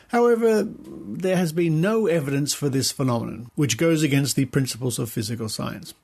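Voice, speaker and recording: A man presenting a podcast, reading an article aloud in a measured, deliberate way with stressed key words. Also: fairly high pitch, darkish tone.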